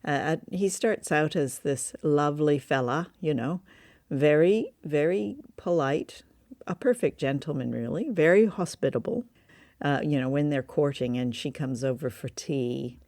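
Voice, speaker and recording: A woman's voice: low-pitched, unhurried, a little mellow, no echo, clean studio sound. A woman co-hosting a podcast, chatting in an amused, conversational way about an old radio play. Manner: chatty, amused, asks questions. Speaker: podcast co-host. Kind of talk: casual review chat.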